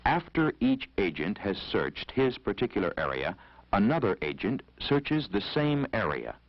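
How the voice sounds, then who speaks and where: A man with an American accent narrating an instructional film in a formal, measured, authoritative way, on a vintage 1970s soundtrack. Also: fairly low pitch, big pitch swings, no room sound, highs cut off.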